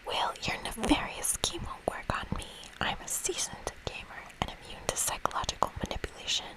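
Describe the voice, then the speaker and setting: Whispering woman, ASMR game reading. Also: low-quality recording. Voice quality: breathy whisper. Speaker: ASMR gamer reading a game's dialogue aloud. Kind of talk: whispered ASMR let's play.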